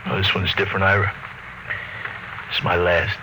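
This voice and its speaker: An actor playing a big, brawling, exhausted expedition crewman, gruff and slangy, in a classic radio drama. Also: low voice, completely flat delivery, normal speed, close to an everyday voice. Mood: resigned. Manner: rough, slangy. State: worn out. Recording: noisy old radio recording.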